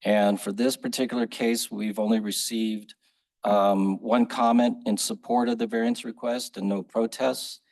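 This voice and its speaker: A man presenting a planning case at a public hearing, steady with frequent pauses and fillers. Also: fairly low pitch, poor audio. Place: council chamber.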